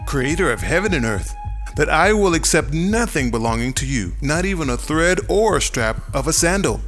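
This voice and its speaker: Guy doing proud old-testament patriarch, loud emphatic oath-swearing, raised pitch, music behind. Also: expressive, moderate pace. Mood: resolute, defiant.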